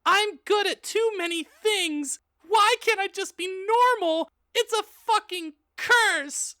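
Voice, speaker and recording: A man's voice: very high-pitched, slow pace, sharp tone, dry recording, studio quality, pitched above the performer's own voice, exaggerated voice. A man voicing a whiny, melodramatic teenage goth girl in a comic audiobook reading. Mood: angry, anguished. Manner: whiny, overdramatic.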